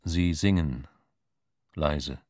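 An actor playing a grave narrator of a radio play, reading terse scene descriptions. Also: male voice, very deep voice, drawn-out words, a little mellow, no echo, studio quality, full character voice. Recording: radio play with music underneath.

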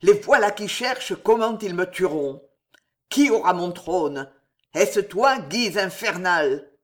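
A man's voice: high voice, fairly bright tone. A reader voicing a young king gripped by paranoid terror, feverish, moving from tender confession to shrieking for his guards in an audiobook. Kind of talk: audiobook character reading.

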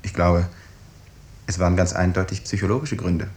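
An actor playing a dutiful, thoughtful junior doctor in a 1950s German radio drama, matter-of-fact and deferential. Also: male voice, deep voice, fairly even intonation, normal speed, a little mellow. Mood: earnest explaining.

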